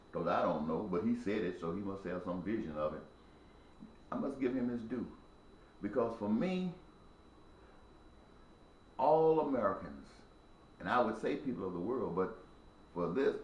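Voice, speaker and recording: Man in his sixties, low-pitched, preachy and emphatic, echoey room with faint hum. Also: darkish tone, dull audio. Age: 60s. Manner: preachy, repetitive, emphatic cadence. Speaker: speaker addressing viewers. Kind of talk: impassioned spoken monologue.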